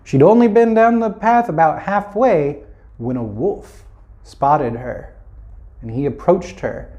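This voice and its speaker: A man's voice: fairly high pitch, slow, dark tone, muffled recording. A man telling a children's fairy tale informally, as a storyteller, with playful, chatty asides.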